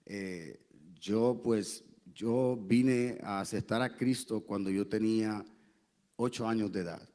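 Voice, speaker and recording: Man, pastor, low mellow voice, halting earnest testimony, church. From Puerto Rico. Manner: earnest, halting storytelling with many fillers. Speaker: pastor, guest and interpreter. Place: church sanctuary.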